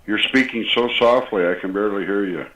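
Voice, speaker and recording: A man's voice: fairly low pitch, a little fast, fairly bright tone. Man prank calling in roomy echo. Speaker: prank caller. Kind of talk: short phone prank lines.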